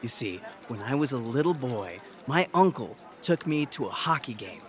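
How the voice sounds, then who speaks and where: A man playing an excitable, earnest school principal who loves mascots. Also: medium pitch, moderate pace, close to an everyday voice. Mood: wistful. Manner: enthusiastic, earnest.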